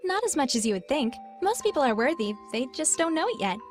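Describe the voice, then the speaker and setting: Game role: calm, reassuring death-cult doorkeeper, notably high-pitched, measured. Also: female voice, faint music behind. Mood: serene, testing. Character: serene, soothing female death-cult gatekeeper. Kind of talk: video game character acting.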